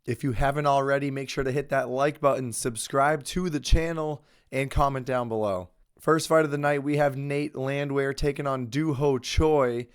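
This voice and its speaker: A man's voice: mid-range voice, steady tone, normal speed, smooth. A young adult man hosting casual sports betting commentary, talking confidently through his picks while he has a cold and is losing his voice. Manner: conversational, confident opinions with fillers. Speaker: host.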